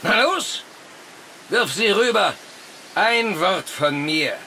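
An actor playing a gloating, fanatical villain in a German audio drama, menacing and mocking. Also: male voice, sits high, expressive, slow pace, vocal fry, bright, forceful tone, slight background noise, exaggerated voice, rough recording. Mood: fanatical, commanding. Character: gloating male villain commanding zombies, theatrical and fanatical.

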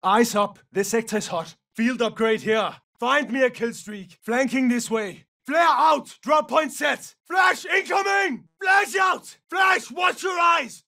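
A gruff merc soldier in a shooter game, really high gravelly male voice, clipped barky callouts. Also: takes it slowly, hardly any pauses, distant mic, full character voice. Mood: urgent, shouting. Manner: clipped, forceful, barked short phrases. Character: gruff battle-hungry male special forces mercenary. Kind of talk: military game combat callouts.

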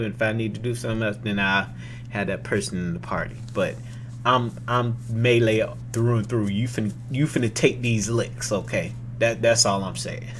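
A young man streamer reacting casually to a game trailer, excitable and slangy, repeating himself, on a desk mic at home. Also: mid-range voice, slight background noise. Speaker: gaming streamer.

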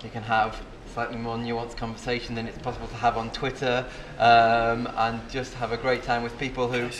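A man's voice: medium pitch, monotone, normal speed, a bit bright. A man from the UK who runs a local skeptics meetup, speaking fluently and enthusiastically at a conference. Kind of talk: casual conference testimonial. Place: large hotel hall at a conference. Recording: noisy hall background.

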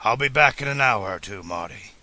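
A man's voice: fairly low pitch, expressive, fairly quick, pushed and bright. A man voicing an eccentric, stubborn, passionate older inventor in an audiobook, speaking in a formal, declamatory way. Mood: sad, stubborn. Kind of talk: audiobook character dialogue.

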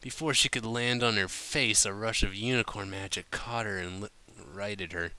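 Bright, clear-voiced man reading aloud. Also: on the low side, normal speed. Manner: animated, informal. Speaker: narrator, fan fiction reader. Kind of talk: amateur audiobook reading with casual asides.

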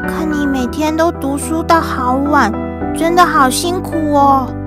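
Little sister role, radio drama: caring, sweet young girl, high voice, unhurried, music bed. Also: fairly even intonation. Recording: music behind the voice.

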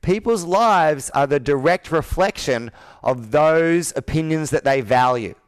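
A man's voice: on the high side, lively intonation, unhurried, clean tone, dry recording. A man in his thirties working as a sales coach, talking persuasively and assertively, with probing rhetorical questions. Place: indoor office with a flip chart, on a video call.

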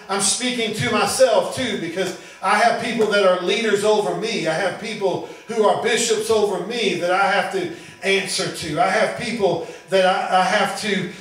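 A man's voice: high voice, fairly bright tone. A middle-aged man preaching as a pastor, teaching the Bible earnestly and often repeating and rephrasing his phrases. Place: small church room, indoors. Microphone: handheld microphone at a lectern. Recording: very echoey room, distant sound.

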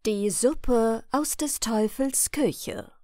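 Female bedtime audiobook reader, thirties, slow and lively in pitch, dry close-mic sound. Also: no echo. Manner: unhurried, expressive storytelling. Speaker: audiobook reader and channel host. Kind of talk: bedtime fairy-tale narration. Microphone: large studio microphone, close.

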